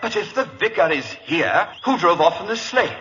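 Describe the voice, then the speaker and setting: An actor playing a blustering, excitable lord and father in old-time radio drama, theatrical and exclamatory. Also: high-pitched, normal speed, a little hiss or hum, full character voice. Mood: alarmed. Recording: dull vintage radio recording.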